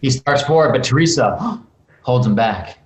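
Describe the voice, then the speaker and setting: A man's voice: medium pitch, moderate pace. A man narrating screenplay stage directions at an online table read, reading expressively with occasional stumbles and asides. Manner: expressive, stumbles and breaks to comment. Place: remote video call from a room. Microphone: video call microphone. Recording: roomy, echoey video call audio.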